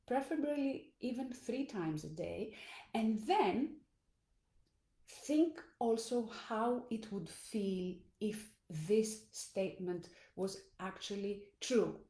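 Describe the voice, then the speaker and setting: Slow, mellow-voiced Greek woman coaching. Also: fairly high pitch, roomy sound. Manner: earnest and deliberate, with small restarts. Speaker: life coach. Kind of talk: motivational talk to camera. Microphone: phone or camera in a live stream.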